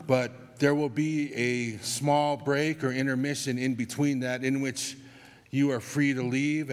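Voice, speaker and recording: A man from Tonga whose first language is Tongan, explaining a church custom to the congregation in a measured way with restarts. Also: mid-range voice. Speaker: church member giving an announcement. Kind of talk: unscripted church announcement. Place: church sanctuary. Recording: long church hall reverb.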